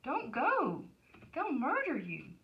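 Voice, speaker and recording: A woman's voice: a little high, very animated, measured pace, recorded from a distance, dull audio. A woman voicing a worried male friend who gives anxious warnings in a children's storybook reading. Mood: alarmed. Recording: noticeable background noise.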